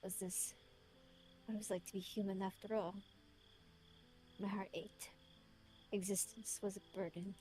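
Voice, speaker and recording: A woman voicing a lonely, remorseful man with a British accent, reading his confession in a solemn, literary, measured way. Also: mid-range voice, level tone, mellow, a little hiss or hum, lightly acted. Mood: melancholy, brooding.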